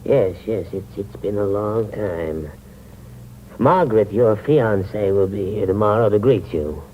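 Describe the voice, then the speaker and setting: An actor playing a frail, trusting elderly uncle who speaks slowly and hesitantly in a 1950s radio drama. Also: male voice, on the low side, animated. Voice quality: slow, hesitant speech. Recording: muffled old radio broadcast with loud hiss.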